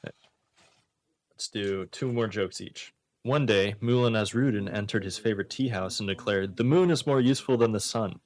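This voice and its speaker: A man's voice: lowish voice, normal speed, darkish tone. A man hosting a radio comedy show, reading jokes and bantering casually with dry, mock-serious commentary. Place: radio studio.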